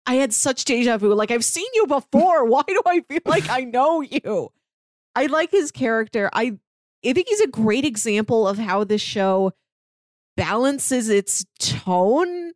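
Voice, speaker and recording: Female podcast panelist, higher pitch, dry close sound, chatty conversational delivery. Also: no room sound. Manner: chatty, peppers speech with "like". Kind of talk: casual animated-series discussion.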